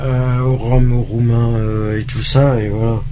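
A man's voice: mid-range voice, flat delivery, unhurried, mellow. A man chatting casually as a radio co-host, hesitant and often trailing off mid-thought. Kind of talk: casual chat. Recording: clear background noise.

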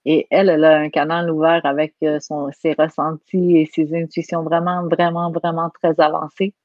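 A woman's voice: low-pitched, little pitch movement, normal speed, darkish tone, dry recording. Earnest Québécois woman on lo-fi audio. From Quebec. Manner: earnest, emphatic. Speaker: guest. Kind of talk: conversational interview.

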